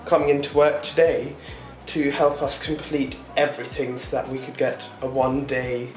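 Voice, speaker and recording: Man, casual vlog chat, mid-pitched, rambling with fillers, roomy echo. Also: level tone, normal speed, recorded from a distance, soft background music. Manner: rambling, chatty, with many fillers and short laughs. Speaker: vlogger.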